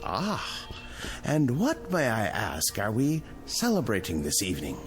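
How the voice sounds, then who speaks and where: Funereal florist: unhurried, dramatically swooping delivery, solemn courtesy, vintage TV drama. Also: male voice, on the low side, soft background music. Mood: mournful. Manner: solemn, deadpan, overly courteous. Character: lugubrious, formal florist with funereal gloom. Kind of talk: vintage television drama acting.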